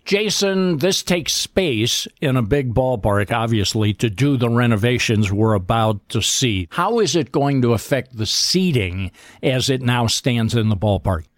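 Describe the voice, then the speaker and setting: A man's voice: medium pitch, expressive, unhurried, no echo. Dry-sounding male sports radio host.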